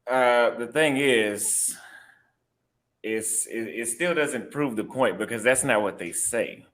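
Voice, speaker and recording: A man from Texas with a Texan accent, defending himself in a casual chat with pauses and restarts. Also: medium pitch, fairly bright tone. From Texas, USA.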